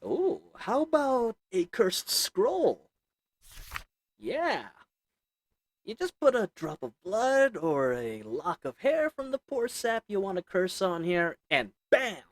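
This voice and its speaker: A man's voice: high-pitched, sing-song, slow, heavily acted. A man voicing a sly little old goblin merchant who sells shady goods, talking in a wheedling folksy drawl. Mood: sly salesman.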